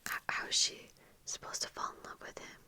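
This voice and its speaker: A young woman whispering in a casual ASMR get-ready-with-me chat, breathy and halting, close to the microphone. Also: bright, forceful tone. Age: young adult. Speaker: ASMR video creator. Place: small indoor room at home with bookshelves. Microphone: fluffy-covered microphone close in front, earphones on.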